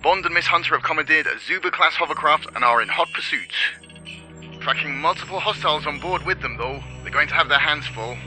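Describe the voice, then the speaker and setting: A man playing a brisk, businesslike intelligence operator reporting on a mission in a video game. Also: a little high, pushed and bright, faint music behind, low-quality recording. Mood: focused, businesslike. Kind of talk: cinematic video game acting.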